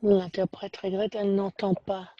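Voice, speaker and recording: Woman interpreting over a call, husky and kinda dark, dull lo-fi sound. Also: mid-range voice, flat delivery, no room sound. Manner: rapid first-person relay of others' introductions. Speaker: simultaneous interpreter. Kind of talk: live interpretation into French on a video call.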